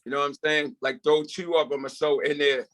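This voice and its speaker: A man with a slightly high voice talks casually over a video call. His phrasing is colloquial and loose, and he likes to check he is being understood. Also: level tone, fairly quick, fairly bright tone. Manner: colloquial, checks listener follows. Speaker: table read participant. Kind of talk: casual meeting chat.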